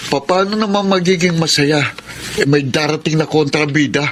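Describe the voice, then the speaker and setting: A man's voice: fairly high pitch, steady tone, normal speed, lightly acted. A man acting as a resentful, defiant Filipino son in his twenties in a radio drama, sullen and accusing. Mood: irritated. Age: 20s. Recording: noisy, with ambience behind.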